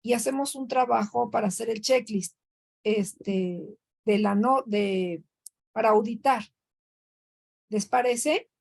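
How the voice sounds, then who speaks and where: Instructora mexicana, voz aguda, habla coloquial con muletillas; llamada en línea. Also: female voice, on the high side, slow, creaky, pauses often, a little mellow. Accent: Mexican Spanish. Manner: conversational, frequent fillers and self-corrections. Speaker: workshop instructor. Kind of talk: online training session.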